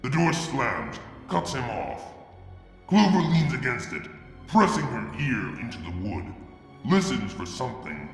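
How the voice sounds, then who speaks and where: A man reading a fan fiction story aloud, in a measured, expressive way. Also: medium pitch. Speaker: fanfic reader. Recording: echoey room with music. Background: music.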